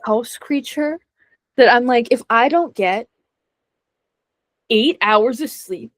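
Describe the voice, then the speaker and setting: A woman's voice: a little high, slow, dry recording. A young adult woman chatting as an interview guest, self-deprecating and laughing often. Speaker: guest actor. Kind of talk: casual interview chat.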